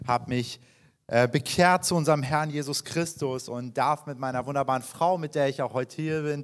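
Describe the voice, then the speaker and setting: Man in his thirties, guest pastor. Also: medium pitch. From Iran. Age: 30s. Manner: warm, chatty and personal, with frequent fillers and restarts. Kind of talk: sermon introduction to a congregation. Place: church hall.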